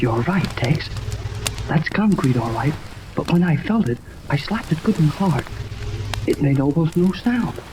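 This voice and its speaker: Excitable boyish crewman, swooping delivery. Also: on the high side, unhurried. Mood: earnest, amazed. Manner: earnest, exclamatory, folksy slang. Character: eager, excitable boyish young male crewman. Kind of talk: 1930s radio serial acting. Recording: noisy old radio, rain ambience over it.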